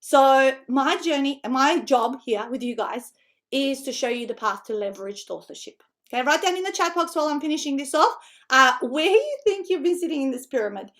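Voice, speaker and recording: This middle-aged woman speaks with a Macedonian-tinged Australian accent over an English she learned in her teens. Her voice sits high, and she talks with eager, chatty energy, often checking in with her listeners as she coaches them on writing a book. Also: fairly quick. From North Macedonia. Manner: energetic, chatty, frequent tag questions and laughs. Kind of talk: online seminar presentation.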